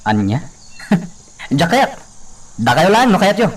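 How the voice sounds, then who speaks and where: A man playing an excitable young man in a rural romance radio drama. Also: high-pitched, slow pace, husky, full character voice. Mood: surprised. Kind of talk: Ilocano radio drama acting.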